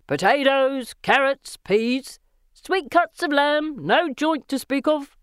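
A woman voicing a rough street vendor crying out wares in an audiobook. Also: high-pitched, slow pace, no room sound, clean studio sound, voice pushed up. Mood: hawking. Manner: sing-out hawking cry.

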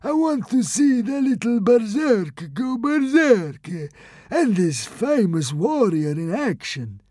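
A man's voice: extremely high pitch, very animated, slow pace, dark tone, no echo, voice pushed up, full character voice. A man doing a fat, yawning Roman consul. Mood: bored. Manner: yawning, languid drawl.

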